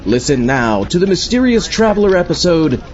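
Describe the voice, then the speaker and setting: A man's voice: fairly high pitch, big pitch swings, moderate pace. A man hosting a horror podcast in character, with scripted, theatrical delivery. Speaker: podcast host in a zombie astronaut persona. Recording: dull sound, faint outdoor traffic noise.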